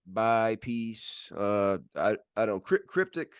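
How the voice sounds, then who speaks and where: A man co-hosting a sports podcast, relaying news in casual, informal chat with a halting delivery. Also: on the low side, big pitch swings, smooth, dry recording.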